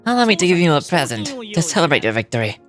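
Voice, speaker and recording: An actor voicing a solemn, noble resurrected hero in a fantasy game, speaking in a formal, measured, reverent way. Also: medium pitch, sing-song, music in the background, natural voice. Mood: solemn gratitude. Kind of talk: scripted fantasy game dialogue.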